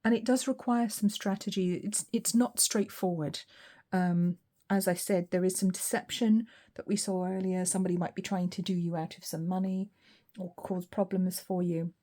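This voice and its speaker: A woman reading tarot cards in a relaxed solo session, her voice a little weak and hoarse, musing aloud with frequent ums. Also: fairly low pitch, normal speed. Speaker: tarot reader.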